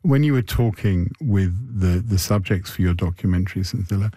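A relaxed male interviewer with a low, mellow voice, often offering short encouraging replies. The recording is dry and close, with no room sound. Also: normal speed, no room sound. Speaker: interviewer, host. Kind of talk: conversational interview.

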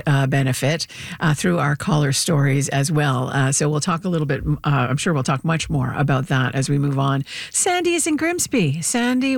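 A radio host running a call-in show, steering guests and callers with quick prompts and affirmations. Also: medium pitch, no room sound, studio quality. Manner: attentive, prompting, frequent affirmations.

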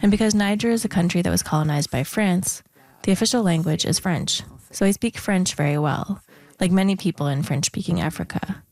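A woman interpreter reading an English voice-over translation in a calm, even way. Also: lowish voice, a little fast, no echo.

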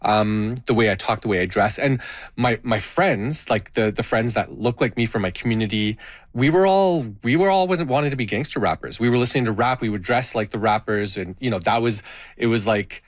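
A man in his thirties, born in Canada with Gujarati as his first language, speaking as a guest about his life, reflective and halting with frequent restarts. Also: lowish voice, no echo, clean studio sound. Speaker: guest, tabla player and educator. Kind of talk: interview conversation.

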